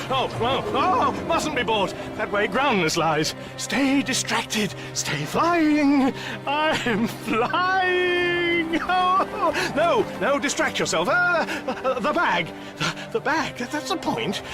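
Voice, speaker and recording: A man's voice: extremely high pitch, heavily acted. An actor playing a flustered, panicky, bewildered Englishman in a radio comedy drama, stammering and exclaiming in an English accent. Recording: loud music and effects behind voice.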